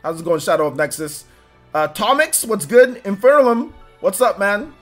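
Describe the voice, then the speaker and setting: A high-voiced man hosts a casual livestream, greeting viewers by name in quick, upbeat bursts. His nose is a little stuffy, and faint music plays under his voice. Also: lively intonation. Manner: upbeat, rapid shout-outs, laughs often. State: slightly stuffy nose after illness. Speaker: livestream host. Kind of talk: casual livestream chat, reading out viewer names.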